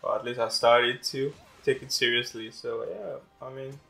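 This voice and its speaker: A man's voice: mid-range voice, steady tone, moderate pace, gravelly, fairly bright tone, soft background music. A young man whose first language is French, chatting casually and rambling over gameplay as host of a gaming channel. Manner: chatty, rambling, repeats words.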